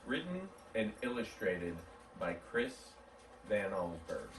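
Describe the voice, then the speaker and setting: Expressive man in his forties, roomy sound. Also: on the low side, slow pace. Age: 40s. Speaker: host of a model railroad channel. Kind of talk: holiday message and storybook reading. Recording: roomy, distant mic, faint background noise.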